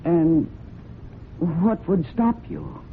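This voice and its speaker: A tinny, slightly noisy 1970s radio drama recording carries a young woman hiding guilt. Her delivery swings widely in pitch, guarded and defensive, with anxious stammers. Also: huge pitch swings, extremely slow, heavily acted. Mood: defensive, afraid. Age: young adult. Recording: tinny band-limited old radio recording, light noise.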